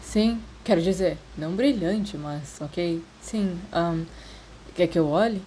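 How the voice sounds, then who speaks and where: A woman's voice: fairly low pitch, slow pace, warm tone, slight background noise, rough recording. A woman with a Brazilian accent voicing a polite, bookish, guarded older schoolboy in a story, casual and slightly hesitant. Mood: friendly, helpful.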